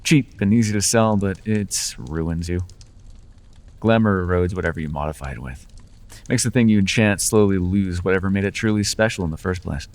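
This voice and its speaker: A man's voice: deep voice, moderate pace, in a large hall. A man playing a sly, coaxing Fae dealer. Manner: coaxing, playful salesman patter. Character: charming male Fae dealer, persuasive and sly. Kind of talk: ASMR roleplay.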